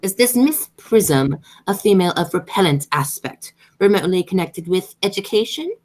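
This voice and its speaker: A haughty society lady of the radio play, acted by a woman, low for a female voice and swooping widely in pitch. She is stern and formal, and speaks as one used to giving orders. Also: sing-song, moderate pace, a little mellow, low-quality recording. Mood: haughty, disdainful.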